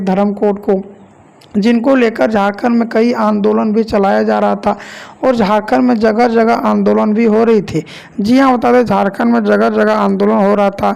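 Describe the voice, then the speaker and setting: A man's voice: sits high, gravelly, darkish tone. A man with a Jharkhand Hindi accent presenting an online news voice-over in a repetitive, formulaic way. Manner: repetitive, formulaic delivery with stock phrases.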